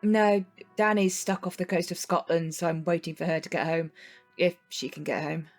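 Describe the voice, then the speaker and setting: A woman's voice: lowish voice, steady tone, normal speed, soft background music, lightly acted. Woman acting a frank, dryly teasing woman. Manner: direct, dry. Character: candid, dryly teasing woman, unafraid to challenge. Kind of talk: audio drama acting.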